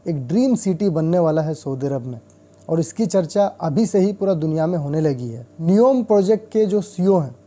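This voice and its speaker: A man's voice: on the high side, lively intonation, normal speed, mellow. A man with an Indian accent presenting an informal news update, explaining things directly to viewers with examples.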